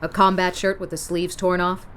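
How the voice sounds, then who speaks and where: A woman's voice: on the low side, level tone, moderate pace, fairly bright tone, slight background noise, lightly acted. An actress playing a callous, cynical woman mercenary in a radio drama, dry and detached. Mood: cool, wry.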